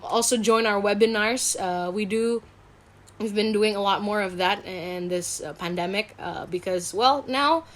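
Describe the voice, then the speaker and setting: A woman's voice: medium pitch, fairly bright tone. A woman from Indonesia speaking as a guest psychologist in a casual interview, candid and self-reflective in an informal way. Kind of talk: casual video interview chat.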